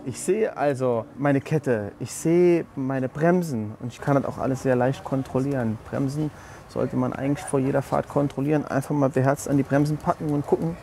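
A man's voice: medium pitch, steady tone, moderate pace, vocal fry, warm tone. A man demonstrating and explaining a check step by step in an interview. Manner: instructive, demonstrating.

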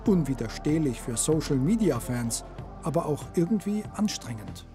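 A man reading a scripted TV report narration in a smooth, measured way. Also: fairly high pitch, big pitch swings. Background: soft music.

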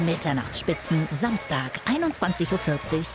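A woman's voice: deep voice, big pitch swings, moderate pace. A woman announcing upcoming TV programmes in a punchy trailer voice-over. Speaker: promo announcer. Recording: voice-over over loud music.

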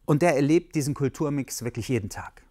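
A man's voice: mid-range voice, animated, brisk, dark tone. A man presenting a TV segment, introducing the next report in an upbeat way. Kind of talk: program introduction.

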